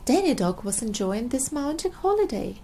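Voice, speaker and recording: Lady reading a kids picture book out loud, soft mellow tone, really animated, bit of noise. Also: fairly high pitch, normal speed. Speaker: storybook reader. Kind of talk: expressive read-aloud of a children's storybook.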